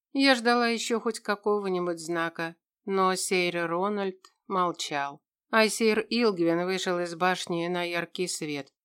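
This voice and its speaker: A woman's voice: on the low side, clean tone. A woman reading a scripted first-person fantasy story as an audiobook narrator.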